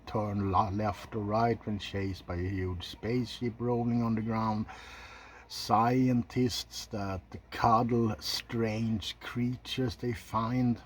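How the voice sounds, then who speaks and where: Low, slow-talking man. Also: level tone, slight background noise. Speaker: video host. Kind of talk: casual ASMR movie ranking commentary.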